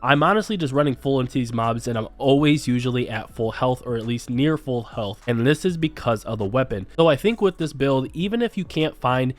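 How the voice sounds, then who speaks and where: A young man with a voice made slightly raspy by illness talks through a game guide. He is friendly and explanatory, addressing his viewers directly. His pitch sits in an ordinary middle range, recorded close on a desk mic. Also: lively intonation, brisk, hardly any pauses. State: slightly raspy from being sick. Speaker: gaming channel host. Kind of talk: game build guide voice-over.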